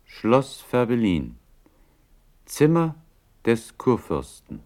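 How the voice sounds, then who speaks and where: A man's voice: medium pitch, huge pitch swings, drawn-out words, a little mellow, a little hiss or hum, full character voice. A man announcing a scene in a radio play.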